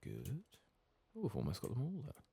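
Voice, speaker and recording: Man, soft-spoken ASMR gamer, gravelly, lively intonation, casual sweary muttering, keyboard clicks. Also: medium pitch, moderate pace, dry recording. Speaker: ASMR gaming streamer. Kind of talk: soft-spoken, sometimes whispered, ASMR game playthrough commentary.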